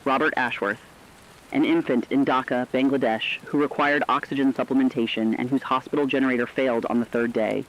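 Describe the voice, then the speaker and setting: A man narrating scripted human stories for a radio broadcast in a solemn, plain-spoken, deliberate manner. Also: mid-range voice, fairly even intonation, fairly bright tone, muffled recording.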